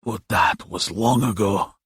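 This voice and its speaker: A man voicing a frail, dying old demon for an audiobook, proud and menacing, with a croaking, hissing, breathy voice and slow, grandiose delivery. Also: fairly low pitch, a bit bright, no room sound, studio quality, exaggerated voice. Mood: wistful, weak. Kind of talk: dramatic audiobook character voice.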